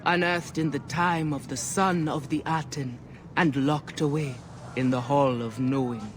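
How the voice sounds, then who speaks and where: A man's voice: on the high side, steady tone, unhurried, close to an everyday voice. A man playing an ominous, mystical ancient seer in a video game, speaking in portentous, incantatory phrases. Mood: secretive. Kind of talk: dramatic video game acting. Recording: heavy background noise, outdoor ambience.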